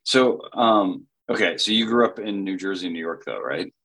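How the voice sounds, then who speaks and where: A man hosting a casual interview, halting and restarting his questions. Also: sits low, lively intonation, pushed and bright, poor audio. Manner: halting, many fillers and restarts. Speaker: podcast host.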